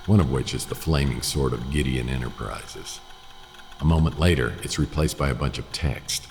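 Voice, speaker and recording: A hard-boiled detective narrating a suspenseful radio drama in the first person. His voice is extremely deep and heavy with gravelly fry. The delivery is measured and brooding, ringing in a long reverb over a music bed. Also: a little hiss or hum, heavily acted.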